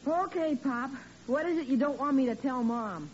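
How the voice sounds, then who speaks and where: A woman's voice: high voice, moderate pace, natural voice. A woman playing a cheeky young boy Junior. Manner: wisecracking, needling his father. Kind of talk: 1940s radio acting. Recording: dull lo-fi old radio recording with hiss.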